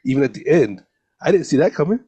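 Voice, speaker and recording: A man's voice: a little high, sing-song, quick pace, dark tone, breathy edge, dull audio. A man co-hosting a podcast, giving his take on films in casual, slangy conversation with friends. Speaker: podcast co-host. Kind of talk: casual film discussion with friends.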